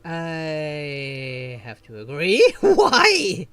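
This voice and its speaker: A woman streamer chatting through her gameplay in a fairly low but very lively voice. She is excitable and quick to laugh, with faint game music behind. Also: clean tone. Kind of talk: casual gameplay commentary.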